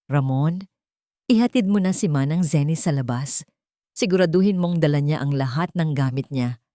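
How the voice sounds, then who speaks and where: A woman's voice: deep voice, moderate pace, dark tone, no echo, clean studio sound, close to an everyday voice. A woman voicing a cold, falsely polite, menacing wealthy female villain in an audio story. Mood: cold command. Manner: venomous, controlled, threatening.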